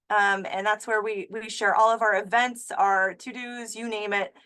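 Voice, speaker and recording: A woman's voice: on the high side, a bit bright. A woman who directs an herbal school, opening an online class over a video call with an upbeat, friendly manner and frequent fillers. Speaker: school director and webinar host. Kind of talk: webinar introduction.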